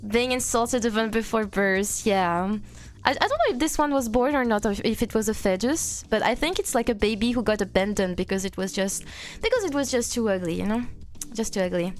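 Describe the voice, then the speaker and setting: Lively high-voiced young Frenchwoman. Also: a little fast, soft background music. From France. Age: 20s. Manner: playful, reads chat aloud, frequent laughter. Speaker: streamer. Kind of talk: casual live-stream chat.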